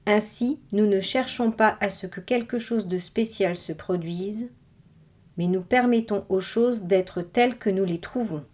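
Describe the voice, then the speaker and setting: Woman leading a guided meditation, mid pitch, measured instructions w pauses between phrases. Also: darkish tone. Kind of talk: guided body scan meditation, scripted instructions.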